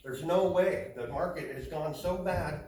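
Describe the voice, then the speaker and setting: A man from North Idaho in the audience, speaking in an animated, frustrated and emphatic way. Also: on the high side, a little slow. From North Idaho, USA. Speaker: audience member. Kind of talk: casual discussion at a town meeting. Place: crowded community meeting hall. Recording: far from the mic, very echoey hall, crowd behind.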